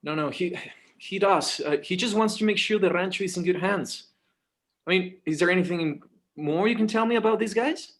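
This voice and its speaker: An anxious schoolteacher son played by a man, with a high male voice. He stammers and repeats himself, earnest and easily exasperated, in a script read. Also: normal speed. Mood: nervous. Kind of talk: screenplay table read.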